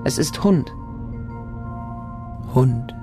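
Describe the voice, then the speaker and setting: A man's voice: medium pitch, expressive, extremely slow, music in the background. An actor playing a distrustful feral orphan boy raised by dogs, narrating a radio drama in short, insistent, repetitive childlike sentences. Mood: brooding, wondering. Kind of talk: radio drama monologue, first-person narration.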